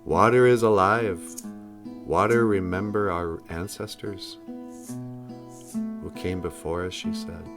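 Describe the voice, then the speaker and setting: Slow, low-voiced man reading a storybook. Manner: measured, with deliberate pauses. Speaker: picture book reader. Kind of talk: dramatic, solemn storybook reading. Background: music.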